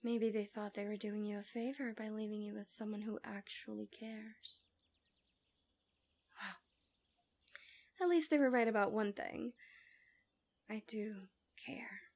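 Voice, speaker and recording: A woman playing a manipulative villainess in an ASMR roleplay, tenderly soothing her captive in a gentle, coaxing, breathy voice that slips into whispers. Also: medium pitch, fairly even intonation, measured pace, long pauses, lightly acted. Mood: quiet manipulation. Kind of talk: ASMR roleplay, intimate soft speech slipping into whispers.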